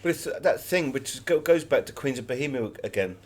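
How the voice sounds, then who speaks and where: A man's voice: mid-range voice, animated, brisk, slight background noise. British man telling anecdotes in an interview. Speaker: interviewed author.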